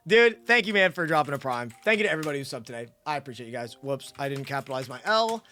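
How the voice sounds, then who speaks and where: A woman's voice: very deep voice, very animated, very fast, a bit bright, a little hiss or hum. A young American woman streaming a game, chatting casually and playfully in slang as she reacts to the story and her chat. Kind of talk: casual livestream commentary. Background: game music.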